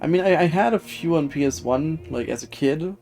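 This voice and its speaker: A man's voice: fairly high pitch, animated, brisk, vocal fry, a little mellow. Male game streamer chatting over music. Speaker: video game live streamer. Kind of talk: casual chat while gaming, reading and answering viewer comments. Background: video game music.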